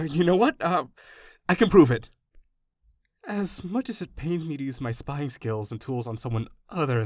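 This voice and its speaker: A man's voice: fairly high pitch, dry recording, clean studio sound. A man playing an obsessive, doting kidnapper in a roleplay audio, speaking to the listener with rambling, anxious affection. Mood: emphatic, protective. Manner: soft-hearted, rambling, hesitant, gushing reassurance. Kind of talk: intimate roleplay audio acting addressed to the listener.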